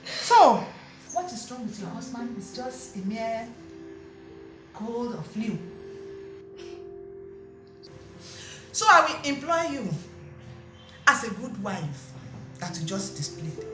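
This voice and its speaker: A woman's voice: high-pitched, lively intonation, fast talker. A woman playing a shrewd older aunt who mocks, then lectures her niece. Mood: lecturing. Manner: brusque, mocking, then lecturing. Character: shrewd, scolding older aunt giving advice. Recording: roomy with music behind.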